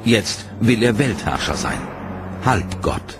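A man giving scripted documentary narration in a measured, serious storytelling manner. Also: fairly low pitch, animated. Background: dramatic music.

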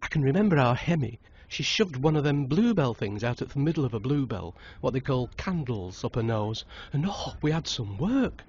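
A man's voice: mid-range voice, very animated, normal speed, hardly any pauses, a little mellow, breathy edge, lo-fi sound, pitched above the performer's own voice. A man putting on a chatty village wife. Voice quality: broad, homely dialect speech. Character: chatty village woman, middle-aged, gossiping.

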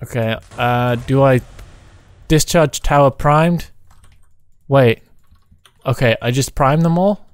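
A man's voice: medium pitch, clear, resonant tone, no room sound, clean studio sound. Man thinking aloud during gameplay. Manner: thinks aloud in short, halting phrases. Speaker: gaming video host, player. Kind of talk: casual co-op gameplay commentary.

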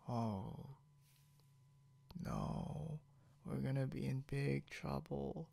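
A man playing a very slow, gravelly drunk guy. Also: medium pitch, darkish tone, heavily acted. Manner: rambling, slurred-slow, giggly, whispery at times. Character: drunk, tired, clingy, playful young man. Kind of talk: intimate ASMR boyfriend roleplay. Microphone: close microphone, dry.

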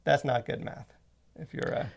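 A man hosting a casual podcast, rambling with many asides into a close studio mic. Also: normal speed. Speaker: podcast host and movie reviewer. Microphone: close boom-arm studio mic.

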